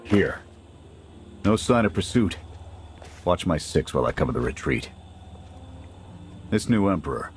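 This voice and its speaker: Deep-voiced, gruff soldier. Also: male voice, big pitch swings, unhurried, long pauses. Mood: terse, alert. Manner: terse, military, matter-of-fact. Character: weary, principled male soldier, gruff and commanding. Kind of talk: scripted video game drama acting. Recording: faint game ambience and noise.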